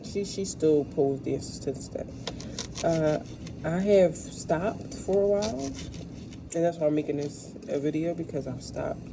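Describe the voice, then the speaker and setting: A woman's voice: low voice, measured pace, gravelly. A woman from the United States vlogging in a casual, rambling storytelling way while she cooks, recorded without a dedicated microphone. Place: kitchen. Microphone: no dedicated microphone, built-in device mic. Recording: noisy kitchen room without a microphone.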